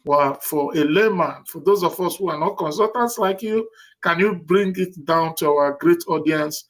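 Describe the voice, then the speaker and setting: A man's voice: on the high side, moderate pace. A man hosting an interview, polite and rambling, with many hesitations and fillers. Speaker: show host.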